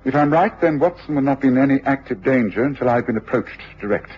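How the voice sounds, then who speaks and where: An actor playing a shrewd, commanding gentleman detective with an English accent, precise and authoritative, in old radio drama. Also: medium pitch, lively intonation, quick pace, slightly rough. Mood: brisk, commanding. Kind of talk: 1950s-60s radio drama acting. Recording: dull, noisy old radio drama recording.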